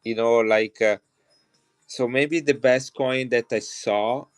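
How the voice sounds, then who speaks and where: A man's voice: medium pitch, fairly even intonation, takes it slowly. A middle-aged man from Italy with an Italian accent, chatting enthusiastically to viewers as he shows and sells coins on a live stream. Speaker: coin seller on a live stream. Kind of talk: casual live-selling chat.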